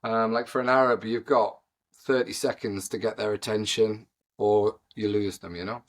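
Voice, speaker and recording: A man in his forties with a Geordie accent, a podcast guest who rambles with lots of pauses and "you know". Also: on the low side, fairly even intonation. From North East England. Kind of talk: casual podcast conversation.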